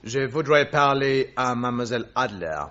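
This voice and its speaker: An actor playing a suave, self-assured detective posing as a royal secretary in a French radio drama, husky, formal and courteous. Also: male voice, medium pitch, moderate pace, a bit bright, natural voice. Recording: dull lo-fi radio recording.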